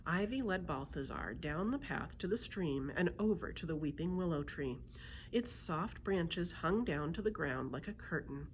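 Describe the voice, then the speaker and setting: Female library storyteller for children's read-aloud: lower-pitched, very animated, slight background noise. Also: moderate pace. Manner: expressive storytelling.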